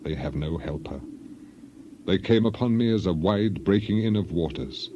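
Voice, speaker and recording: A man's voice: deep voice, lively intonation, moderate pace, dark tone, highs cut off, slight background noise. A man voicing a grieving, dignified biblical elder in a solemn, lamenting, declamatory dramatized reading. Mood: anguished.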